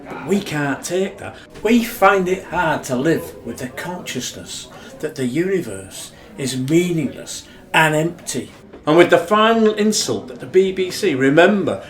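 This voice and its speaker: A man's voice: a little high, darkish tone. A man with a Northern English accent acting in a radio play as an argumentative, wry, emphatic rugby fan. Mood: bitter. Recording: echoey room.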